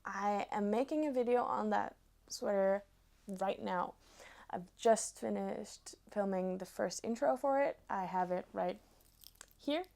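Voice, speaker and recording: A woman in her twenties from Norway with a Norwegian accent, chatting casually to viewers as a knitting vlogger, pausing between short phrases. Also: mid-range voice, creaky, dark tone. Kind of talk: casual chat to camera.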